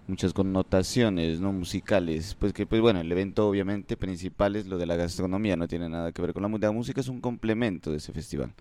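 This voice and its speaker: A man from Pasto, Colombia, a band musician answering interview questions in a relaxed, rambling way with many fillers. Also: on the low side, clean tone.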